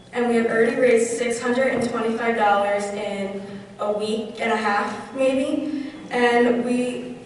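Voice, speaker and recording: A woman's voice: a little high, a little hiss or hum. A teenage girl with a New Jersey accent earnestly reading a prepared fundraising speech, heard from a distance in a large convention hall. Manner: reads from a script, earnest. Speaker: youth chapter representative. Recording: echoey hall, distant mic.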